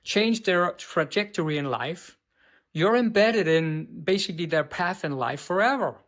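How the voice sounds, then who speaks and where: A man with a Danish accent coaches on business in a blunt, profane monologue, his voice pitched a little high. He tacks "right?" onto his points and trips over words, then just keeps going. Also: expressive, a little slow, fairly bright tone. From Denmark. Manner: stumbles and restarts words, unbothered. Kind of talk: direct-to-camera monologue.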